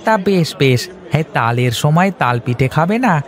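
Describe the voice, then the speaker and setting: A man's voice: fairly high pitch, expressive, moderate pace, natural voice. A man playing a chatty, ingratiating village fruit seller in a cartoon fairy tale. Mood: welcoming, persuasive.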